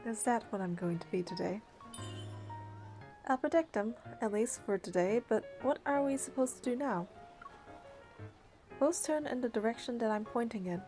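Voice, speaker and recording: A woman's voice: a little high, moderate pace, long pauses, dark tone, soft background music. A woman playing a story game, reading its narration aloud and adding casual asides. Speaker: game player.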